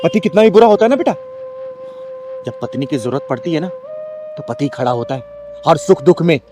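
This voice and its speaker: A man's voice: on the high side, sing-song, normal speed, over music. A man playing a fierce, protective kinnar elder sister who scolds in blunt, threatening, preachy street talk in a short drama film. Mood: firm advice.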